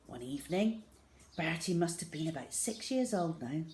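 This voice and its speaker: A woman reading a children's story aloud in a measured, expressive, dramatic way with hushed emphasis. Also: deep voice, a little hiss or hum.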